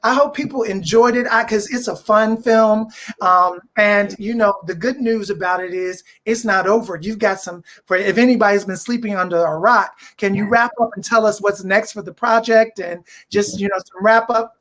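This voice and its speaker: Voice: medium pitch, fairly even intonation, moderate pace, a bit bright. A host in their forties chatting conversationally about an arts project, with a mid-range voice. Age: 40s. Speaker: host and director discussing a dramatic reading. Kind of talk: conversational fireside chat.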